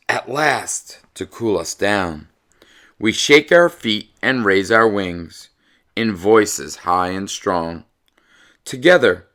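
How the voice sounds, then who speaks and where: A man giving an expressive, dramatic read-aloud in the manner of a children's storyteller. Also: lowish voice. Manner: animated, dramatic delivery.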